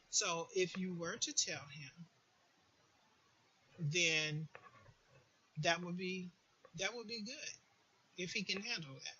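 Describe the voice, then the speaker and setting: Bright, emphatic woman giving advice. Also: fairly low pitch, highs cut off. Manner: emphatic, repeats words for stress. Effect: voice distortion late in the recording. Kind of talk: informal spoken advice. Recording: faint background noise.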